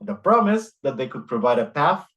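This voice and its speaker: A middle-aged man from Costa Rica delivers an academic lecture at a mid pitch. He reads from his notes in a careful, measured way. His phrasing is dotted with frequent "uh" fillers. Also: sing-song, a bit bright. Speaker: guest lecturer.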